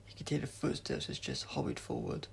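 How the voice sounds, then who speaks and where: A man's voice: mid-range voice, big pitch swings, brisk, vocal fry, husky. A man reading an adventure story aloud as a dramatic audiobook narration. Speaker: audiobook reader.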